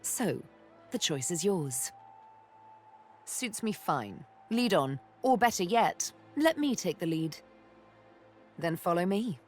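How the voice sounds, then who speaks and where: A woman's voice: fairly low pitch, sing-song, slow, pauses often, faint music behind, full character voice. A woman voicing a sly elf rogue in a video game, playful and flirtatious with a theatrical, threatening edge. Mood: composed, businesslike. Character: sly elf woman rogue, former slave, teasing and menacing. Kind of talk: video game character acting.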